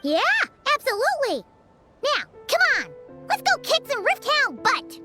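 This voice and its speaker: A woman playing a tiny, chirpy, childlike fairy companion in a video game, with a squeaky voice and an eager, exclamatory manner. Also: wildly swooping pitch, a little slow, over music, full character voice. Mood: encouraging, cheerful.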